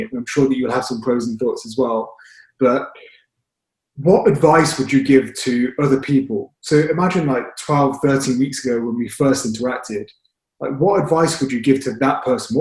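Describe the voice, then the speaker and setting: A man's voice: medium pitch, moderate pace, darkish tone, reverberant, rough recording. A man, a fitness coach hosting, asking questions in a chatty, encouraging way over a video call. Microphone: video call webcam.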